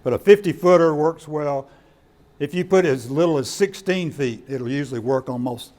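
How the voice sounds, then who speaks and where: A man with a slightly high voice gives an informal talk to a group. He explains things conversationally, often doubling back on words, as the presenter in a meeting room. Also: normal speed, a little mellow. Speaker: presenter of radio kits at a club meeting. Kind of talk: informal presentation with audience questions.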